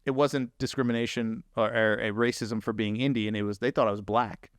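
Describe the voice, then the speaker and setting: A man's voice: on the low side, fairly even intonation, a little fast, clear, resonant tone, dry recording, studio quality. A man from New Jersey hosting a podcast in casual chat, talking loosely with lots of filler words. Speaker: podcast host.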